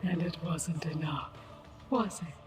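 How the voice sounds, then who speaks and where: A woman playing an eerie, knowing monstrous older sister in a dark fantasy audio drama, with a smooth, whispery voice and slow, deliberate delivery. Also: sits low. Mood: quietly inviting. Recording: noisy ambience behind the voice.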